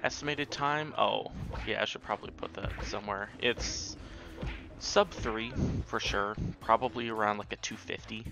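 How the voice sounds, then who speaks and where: A man's voice: on the low side, normal speed, creaky, over music. A man chatting casually as he streams a video game speedrun. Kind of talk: casual livestream chat.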